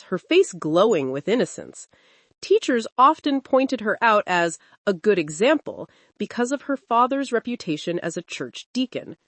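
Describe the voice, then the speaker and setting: A woman storyteller giving an expressive, suspenseful narration of a scripted dramatic folktale. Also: mid-range voice, no echo.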